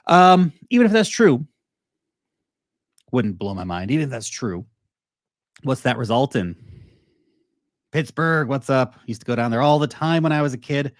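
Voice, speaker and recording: A man in the middle of a casual market chat, rambling from one point to the next and breaking off to answer viewers. He sits at an ordinary pitch, close and dry on the mic, with no room around him. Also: animated, normal speed, pauses often, no room sound. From United States. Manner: chatty, talks to chat, rambling asides. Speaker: crypto livestream host.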